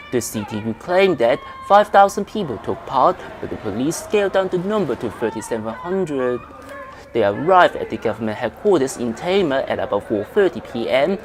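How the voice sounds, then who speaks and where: A man reading a scripted news report as a correspondent. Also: mid-range voice.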